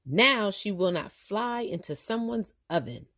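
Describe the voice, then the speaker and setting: Woman reading as a skeptical grandfather, lively pitch. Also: a little slow. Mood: practical. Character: practical, skeptical grandfather of a city family. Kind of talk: read-aloud children's storybook dialogue.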